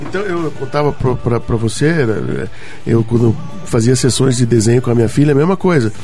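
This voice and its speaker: Radio host guy, mid pitch, keeps chiming in all excited, bit of music in the back. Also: a little fast. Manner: chatty, enthusiastic interjections. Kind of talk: radio talk show interview. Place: radio studio.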